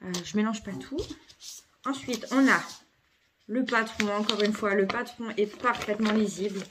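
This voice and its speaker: A woman's voice: medium pitch, steady tone, normal speed, noisy background. Hesitant woman with a cold. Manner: hesitant, many fillers and self-corrections. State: has a cold. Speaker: craft vlogger. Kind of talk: casual unboxing chat.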